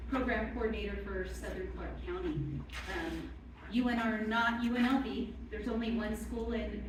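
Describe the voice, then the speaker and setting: A woman program coordinator introducing herself during public comment, friendly and explanatory. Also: medium pitch. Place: town meeting hall. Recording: echoey hall, far mic, background noise.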